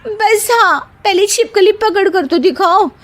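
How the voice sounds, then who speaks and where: Woman putting on a squeaky, whiny young daughter-in-law. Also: measured pace, a bit bright. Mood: cocky. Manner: whiny, pleading, talks back. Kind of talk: cartoon voice acting.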